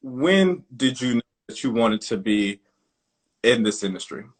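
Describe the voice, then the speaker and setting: A man's voice: medium pitch, expressive, unhurried. Enthusiastic American man, podcast host, casual and effusive. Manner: enthusiastic, casual, many uh fillers. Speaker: podcast host, artistic director. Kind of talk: podcast interview.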